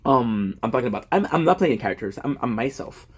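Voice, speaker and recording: Husky rambling man in his thirties. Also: mid-range voice, lively intonation, quick pace. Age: 30s. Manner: rambling, self-deprecating, many fillers and restarts. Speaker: gaming content creator. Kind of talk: casual rambling monologue.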